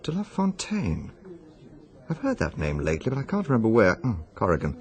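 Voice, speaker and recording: Inquisitive british gent, radio mystery, polite, talks fast, very animated. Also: male voice, lowish voice. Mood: curious. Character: inquisitive, courteous British gentleman.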